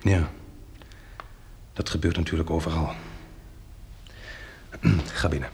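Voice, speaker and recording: An actor playing a practical, wry survivor of a catastrophe in a radio drama, matter-of-fact and dryly ironic. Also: male voice, a bass voice, slow pace, background noise. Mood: grim.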